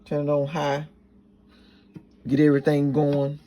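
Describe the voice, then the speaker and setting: A woman with a raspy voice, chatting casually to her viewers in a home kitchen cooking vlog. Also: low-pitched, monotone, a little slow, dark tone. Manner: chatty, talks straight to viewers. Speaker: cooking vlogger.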